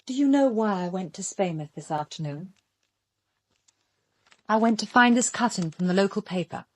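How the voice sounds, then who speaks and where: A woman's voice: medium pitch, lively intonation, normal speed, no room sound, natural voice. An actress playing an earnest, probing young woman confronting a suspect in an audio drama, direct and controlled. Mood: pointed, accusing.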